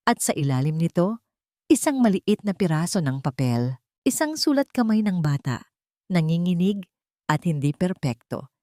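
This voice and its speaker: A woman reads a dramatic story as an audiobook narrator. Her pitch is on the low side and the recording is very dry. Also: a little mellow, no echo.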